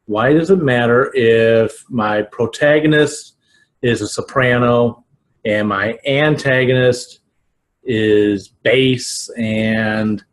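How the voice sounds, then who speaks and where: Slow-paced American man teaching informally. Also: mid-range voice. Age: 40s. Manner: deliberate, halting, emphatic, with pauses. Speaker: video podcast host, writing teacher. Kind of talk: informal instructional talk to camera. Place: small living room at home, indoors.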